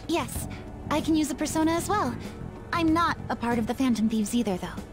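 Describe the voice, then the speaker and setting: A woman's voice: high-pitched, animated, a little fast, over music. A woman voicing an earnest, polite teenage schoolgirl in a video game, a little hesitant.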